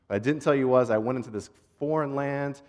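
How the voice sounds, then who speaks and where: A man's voice: medium pitch, a little fast, a little mellow. A man preaching as a pastor in an informal, earnest, conversational way, repeating phrases for rhythm as he tells stories. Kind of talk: conversational sermon with prayer and storytelling. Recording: long hall reverb.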